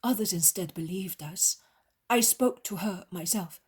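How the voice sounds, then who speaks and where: An earnest, humble male disciple recounts his tale with slow, unhurried phrasing, read by a woman in her own voice. The tone is breathy and soft, devotional rather than dramatic. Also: mid-range voice. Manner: earnest, reverent storytelling. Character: male apostle Andrew, humble fisherman disciple. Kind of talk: devotional reading.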